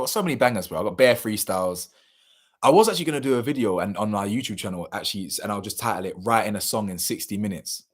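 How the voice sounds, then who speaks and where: Young guy on a live stream, bit hoarse, lowish voice, slangy, flips from loud to mumbly. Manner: slangy, animated, laughs often, drifts between loud bursts and mumbles. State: slightly hoarse. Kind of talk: casual live chat reading viewer comments.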